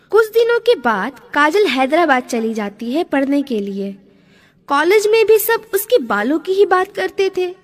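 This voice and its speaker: A woman narrating a scripted family moral story as a storyteller. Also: high voice.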